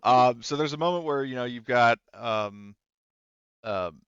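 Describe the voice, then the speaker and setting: A man's voice: mid-range voice, measured pace, a bit bright, smooth, no room sound. A man game-mastering a tabletop roleplaying game for friends, casually narrating and hesitantly thinking aloud, on slightly dull audio.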